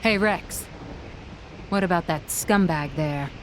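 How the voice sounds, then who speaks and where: Low-voiced sardonic warrior. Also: female voice, slow pace, a little hiss or hum, low-quality recording. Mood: wry. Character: confident, sardonic adult woman warrior. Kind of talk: video game cutscene acting.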